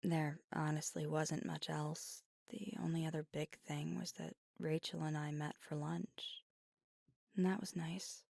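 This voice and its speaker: A woman playing a weary, guarded werewolf girlfriend confiding in an intimate ASMR roleplay, in a halting, breathy near-whisper. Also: low-pitched, level tone, no room sound, clean studio sound, full character voice. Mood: reflective, candid. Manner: halting, hushed, confessional, with pauses. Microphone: close microphone, dry.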